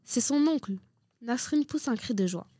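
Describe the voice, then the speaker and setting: A woman narrates a radio story from a script. Her voice is soft-edged and mellow, recorded in a dry space. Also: high-pitched, expressive, normal speed, husky, dry recording.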